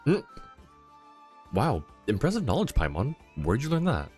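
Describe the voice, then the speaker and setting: A man's voice: medium pitch, huge pitch swings, normal speed. A man giving casual, wry livestream commentary on a video game in a conversational, chuckling way. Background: faint game music.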